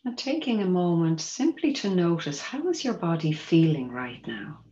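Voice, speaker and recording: Meditation guide, female, low register, gentle and measured delivery, inviting tone; dull-sounding recording in an echoey room. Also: very animated. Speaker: mindfulness teacher guiding a body scan. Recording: roomy echo, dull muffled sound.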